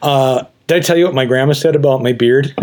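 A man's voice: medium pitch, quick pace, darkish tone. Nasal young man hosting a podcast. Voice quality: nasal, sounds like he has a cold. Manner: easygoing, hesitant with many ums. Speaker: podcast co-host. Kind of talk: casual chat.

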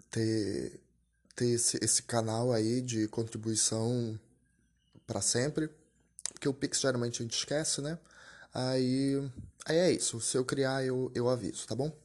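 Chatty young man reviewing a film. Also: medium pitch. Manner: chatty, full of "né?" and restarts, laughs at himself. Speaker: podcast host. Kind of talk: casual solo podcast film review.

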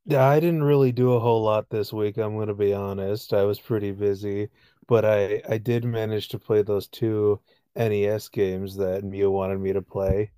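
A man's voice: fairly low pitch, fairly even intonation, moderate pace, warm tone, smooth, no echo, studio quality. A man chatting casually as a co-host on a podcast. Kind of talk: casual chat.

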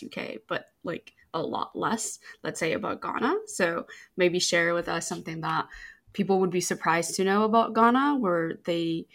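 A woman's voice: on the low side, gravelly, fairly bright tone. A woman co-hosting a podcast, chatting casually and asking a guest questions. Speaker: podcast co-host. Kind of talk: casual conversational interviewing.

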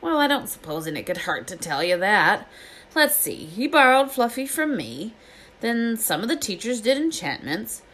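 A woman voicing a big, bearded, friendly gamekeeper man, proud and easily flattered, with rustic, blustering speech in a storybook reading. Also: on the high side, moderate pace, pitched above the performer's own voice. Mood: chatty, reassuring.

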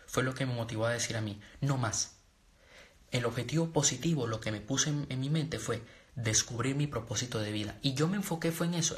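A man's voice: mid-range voice, fairly bright tone, slight background noise. A young man from Venezuela giving an earnest, rambling motivational talk to viewers, full of restarts and fillers. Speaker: personal development and trading coach. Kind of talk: casual live-stream talk to viewers.

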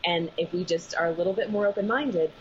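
A woman's voice: fairly low pitch, a little fast. A woman veterinarian speaking as an interview guest over a video call, earnest and thinking aloud as she talks. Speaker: veterinarian and animal massage school director. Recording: muffled video call audio with faint noise.

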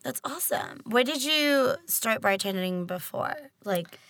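A young woman in her twenties with a raspy voice, hosting a casual chat and teasing her guest bluntly. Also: a little high, expressive, a bit bright, no room sound. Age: 20s. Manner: teasing, blunt, quick to joke. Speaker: podcast host.

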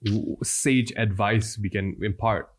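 A man's voice: lowish voice, slow pace. A man co-hosting a casual podcast, teasing and chiming in playfully. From Malaysia. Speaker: podcast co-host.

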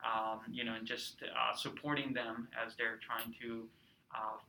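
Guest spot: man in his thirties from Peru, very bright voice, chatty storyteller, faint background hiss. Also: medium pitch, little pitch movement, unhurried, poor audio. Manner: warm storytelling with many fillers. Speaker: guest, cultural center director. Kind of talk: casual interview chat.

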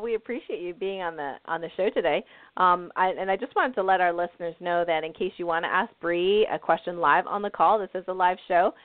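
A woman's voice: fairly low pitch, no room sound. A woman hosting a live call-in radio show, talking in a bubbly, enthusiastic way and laughing often. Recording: tinny phone-line audio.

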